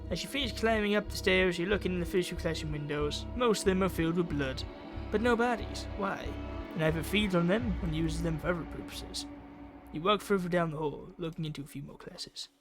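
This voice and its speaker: A man's voice: high-pitched, fairly quick, music in the background. A man reading a visual novel game's narration and dialogue aloud, stumbling over lines and correcting himself.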